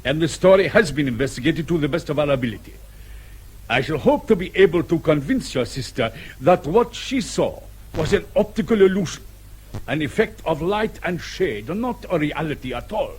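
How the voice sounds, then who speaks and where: An actor playing a suave, sceptical police colonel in a radio drama, formal, precise and sarcastic. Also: male voice, a little high, animated, normal speed, a little mellow, slightly rough, low-quality recording. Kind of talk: British radio drama acting.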